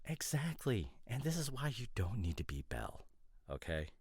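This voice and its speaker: A man playing an opinionated, nitpicking boyfriend in a casual roleplay audio drama, talking in pedantic, exasperated, halting asides. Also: lowish voice, very animated, darkish tone, slightly rough, no echo, clean studio sound, exaggerated voice. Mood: exasperated ranting. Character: opinionated, nitpicking boyfriend, a professional costume designer.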